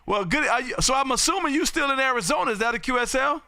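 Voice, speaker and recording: Very high, bright-voiced exuberant radio man. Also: expressive, fast talker, dry recording, clean studio sound. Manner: loud, exuberant, chatty, folksy. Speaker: amateur radio operator hosting a live stream. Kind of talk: casual radio chat and calling. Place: home radio room.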